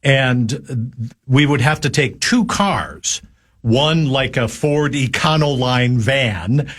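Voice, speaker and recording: A man in his seventies from Minnesota, a regular guest chatting on a radio show, telling stories in a halting, folksy way with many pauses. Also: medium pitch, animated, a bit bright. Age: 70s. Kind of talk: casual radio chat.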